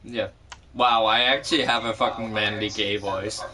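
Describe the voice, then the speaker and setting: A man's voice: lowish voice, fairly even intonation, moderate pace, bright, forceful tone, slight background noise. A man chatting casually over a remote call about comics and games, loose and joking, laughing easily. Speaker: podcast co-host.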